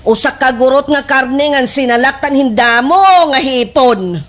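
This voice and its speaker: A woman's voice: high voice, measured pace. A woman with a Waray accent playing a gossipy village sidekick who eggs on her friend, with exaggerated, emphatic delivery in a slapstick radio drama. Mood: goading. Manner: animated, exaggerated, emphatic storytelling.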